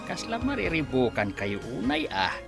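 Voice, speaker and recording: A man's voice: fairly high pitch, wildly swooping pitch, moderate pace, gravelly, over music, exaggerated voice. A man playing a deferential, puzzled servant in an Ilocano radio drama. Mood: concerned.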